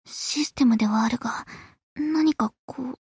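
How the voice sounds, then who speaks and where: A woman's voice: high-pitched, a little slow, slightly rough, no echo, studio quality, full character voice. A woman voicing a cool, composed foreign warship character in an anime game, formal and reserved. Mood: quietly pleased.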